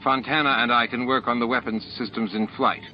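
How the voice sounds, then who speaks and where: A man's voice: medium pitch, steady tone, fairly quick, soft background music, close to an everyday voice. A man voicing a dependable, matter-of-fact starship engineer in an audiobook. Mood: businesslike. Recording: dull lo-fi audiobook tape.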